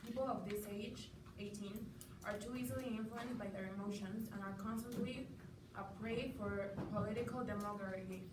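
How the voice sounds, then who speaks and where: A woman's voice: mid-range voice, steady tone, normal speed, few pauses. Young mexican woman debating in class, mid voice, lots of echo and room noise. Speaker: student debater. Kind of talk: prepared argument in a classroom debate. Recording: echoey, noisy room, distant mic.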